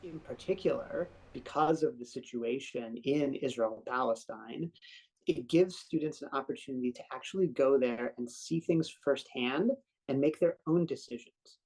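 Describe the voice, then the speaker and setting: A man, a professor, answering an interview thoughtfully with pauses and restarts. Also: medium pitch, a little mellow, slightly rough, rough recording.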